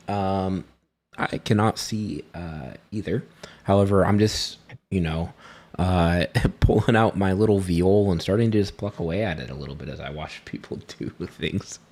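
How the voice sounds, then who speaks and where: Male tabletop player, low pitch, loose conversational role-play, self-deprecating and meandering. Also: normal speed, darkish tone, husky. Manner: rambling, self-deprecating, chuckling often. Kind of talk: casual first-person role-play chat.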